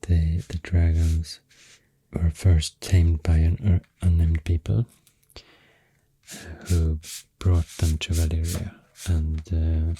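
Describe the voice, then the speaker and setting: A man with a Swedish accent speaking softly and breathily, often close to a whisper, in a soothing ASMR ramble, close to the microphone. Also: no room sound, rough recording. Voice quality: deep, soft, breathy, often near whisper. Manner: slow, hushed, soothing. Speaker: ASMR host. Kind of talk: soft-spoken ASMR rambling.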